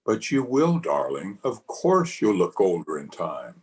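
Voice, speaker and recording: A man's voice: deep voice, wildly swooping pitch, a little slow, darkish tone, full character voice. A man playing a doting, reassuring husband in an old-time radio play. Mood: dismissive, reassuring. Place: home, over a video call.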